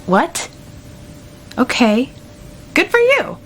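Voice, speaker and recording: A high, brisk teenage girl acted by a woman runs her debate team with dry sarcasm. It is radio drama dialogue over a noisy, rumbling recording. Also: wildly swooping pitch, exaggerated voice. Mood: brisk, sarcastic. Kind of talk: amateur radio drama acting. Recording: clear background noise with low rumble.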